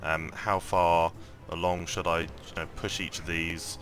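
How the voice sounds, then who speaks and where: Croaky low-voiced man thinking aloud. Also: little pitch movement, unhurried, fairly bright tone. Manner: thinks aloud, rambling with many ums and restarts. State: croaky morning voice. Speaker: mobile game let's-play host. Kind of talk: casual gameplay commentary.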